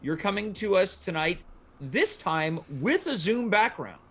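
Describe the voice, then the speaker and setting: A man's voice: high voice, very animated, unhurried, a bit bright, husky. A man hosting a casual live comedy talk show, with playfully grand announcer-like phrasing.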